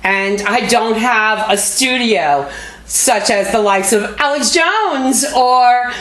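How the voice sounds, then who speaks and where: High-voiced woman making an emphatic appeal, echoey room. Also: expressive, slow pace. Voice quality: loud voice. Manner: emphatic, indignant, folksy direct address. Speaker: activist video host and fundraiser. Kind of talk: impassioned unscripted appeal to viewers. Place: motel room. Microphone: small video camera. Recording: echoey room, homemade camera audio. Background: background music.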